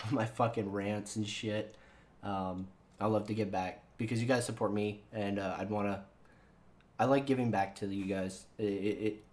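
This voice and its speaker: A man talks straight to his viewers in a loose, meandering way, dropping curse words and ums as he goes. His voice sits a touch low yet still sounds younger than his years, like casual chat into a desk mic. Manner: rambling and profane, with many fillers. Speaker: channel host.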